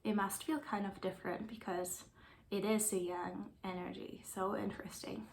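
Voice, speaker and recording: A woman with a slight German accent, giving a casual oracle card reading in a gentle, meandering way that often trails off into soft whispers. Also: medium pitch, little pitch movement, normal speed, creaky. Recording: roomy with faint background noise.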